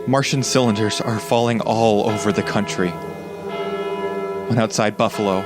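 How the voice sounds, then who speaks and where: An actor playing a grave radio news announcer in a 1930s-style radio drama, giving halting eyewitness commentary. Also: male voice, lowish voice, fairly even intonation, over music, lightly acted. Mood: grave urgency.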